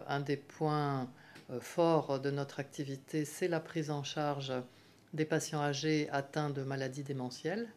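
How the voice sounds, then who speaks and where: Middle-aged woman from Paris, geriatrics professor, low voice, careful and hesitant interview answers. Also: darkish tone. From Paris, France. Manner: measured, frequent hesitations with euh.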